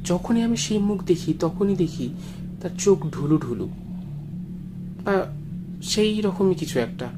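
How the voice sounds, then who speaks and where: A man narrates a first-person story in a measured, suspenseful and expressive way. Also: high voice. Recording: music behind the voice. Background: music.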